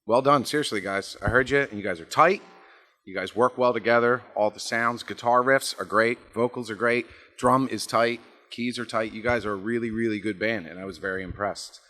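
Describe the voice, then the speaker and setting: Bright-voiced man hosting an interview. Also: on the low side, fairly quick. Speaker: interviewer and host. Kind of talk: casual band interview.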